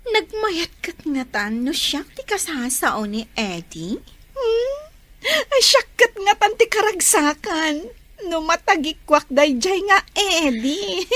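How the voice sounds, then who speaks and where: Very high-pitched, vain young schemer. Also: female voice, fairly bright tone, exaggerated voice. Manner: theatrical, drawn-out, giggling delivery. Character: vain, scheming young female student, giggly, boastful. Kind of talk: Filipino radio drama acting.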